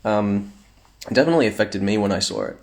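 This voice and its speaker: A man's voice: lowish voice, huge pitch swings, fast, gravelly. A young man chatting casually on a phone livestream at home, reading fan comments aloud with playful asides.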